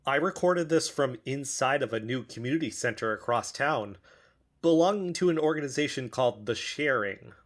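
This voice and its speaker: An actress playing a curious, earnest podcast investigator who asks polite, probing questions in an audio drama interview. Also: female voice, extremely low pitch, animated, moderate pace.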